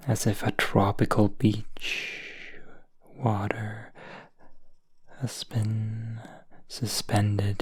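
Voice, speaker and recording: A man narrates a gentle story in a soft ASMR whisper, very slow and unhurried. His voice is breathy and husky, close to the mic with a completely dry sound. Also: on the low side, dry recording.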